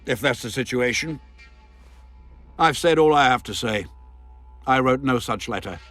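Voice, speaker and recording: An actor playing a proud, haughty baron in a video game, speaking in a stiff, formal and imperious manner. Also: male voice, mid-range voice, a little slow, a bit bright, natural voice. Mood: indignant. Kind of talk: video game cutscene voice acting. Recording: music behind the voice.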